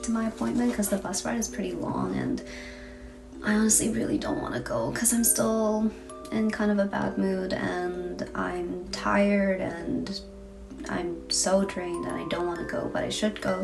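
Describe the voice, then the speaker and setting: A woman's voice: medium pitch, animated, measured pace, gravelly. A young woman in a casual diary vlog, talking in a tired, chatty, self-deprecating way. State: hoarse, tired voice. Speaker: vlogger. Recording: distant mic with loud music behind.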